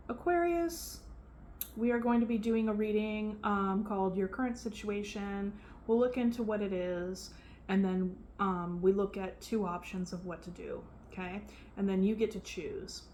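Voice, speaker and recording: A soft-voiced woman of medium pitch speaks at an unhurried pace. She chats loosely through a tarot reading and fills her pauses with ums. Faint noise sits behind her in the room. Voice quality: soft-spoken. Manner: relaxed, chatty, frequent fillers. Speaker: tarot reader. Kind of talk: casual tarot reading to camera.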